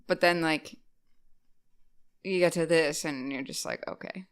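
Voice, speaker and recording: A woman co-hosting a casual podcast, chatting animatedly with lots of "like" fillers and sudden loud bursts. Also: low voice, measured pace, clean tone. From Rhode Island. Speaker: podcast co-host. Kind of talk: casual chat.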